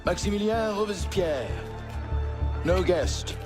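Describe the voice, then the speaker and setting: An actor playing an unbending French doorkeeper in a video game, formal and curt. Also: male voice, sits high, expressive, takes it slowly. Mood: stern formality. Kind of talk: video game cutscene acting. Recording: music behind the voice.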